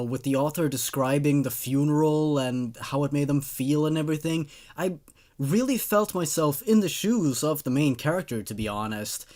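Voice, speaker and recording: Man, horror story host, fairly high voice, very lively intonation, casual commentary, repeats himself for emphasis. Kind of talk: casual review commentary between story readings.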